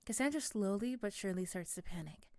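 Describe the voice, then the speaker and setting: A woman's voice: mid-range voice, expressive, a little fast, darkish tone. A woman reading scripted second-person narration for an ASMR roleplay story.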